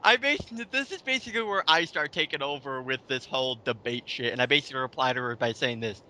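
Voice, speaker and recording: A man's voice: medium pitch, fast talker, pushed and bright. A man co-hosting a casual commentary chat in a rambling, emphatic, sweary way. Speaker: commentary video co-host.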